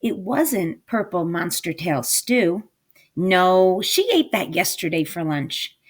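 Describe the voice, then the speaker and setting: A woman reads a picture book aloud for children. Her delivery is slow and very animated in pitch, leaning on key words for drama and coaxing listeners with questions. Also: slightly rough. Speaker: storybook reader, teacher. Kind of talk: expressive read-aloud to children.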